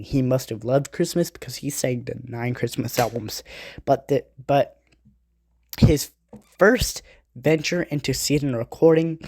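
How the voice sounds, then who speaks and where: A man's voice: mid-range voice, dark tone, dry recording. A man hosting a casual podcast, rambling through notes with asides and self-corrections, his nose stuffy from a cold. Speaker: podcast host. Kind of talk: casual podcast monologue reading album facts.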